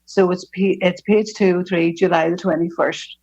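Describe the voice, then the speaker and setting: A woman's voice: on the low side, fairly even intonation, normal speed, a little mellow, breathy edge, lo-fi sound. A middle-aged woman from Northern Ireland with a Belfast accent, sharing her personal testimony at a recovery fellowship meeting in an earnest, reflective way with frequent hesitations.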